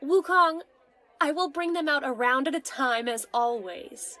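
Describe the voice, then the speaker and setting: Lady as a motherly older innkeeper, teasing, higher voice, bit of crowd behind, fantasy audio drama. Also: unhurried, pushed and bright. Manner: motherly, affectionate, chatty. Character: motherly, teasing aged female tavern keeper.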